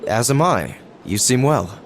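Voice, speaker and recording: Haughty young noble student. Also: male voice, medium pitch, big pitch swings, unhurried, slight background noise. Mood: formal, pleased. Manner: formal, proud, clipped. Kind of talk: video game cutscene acting.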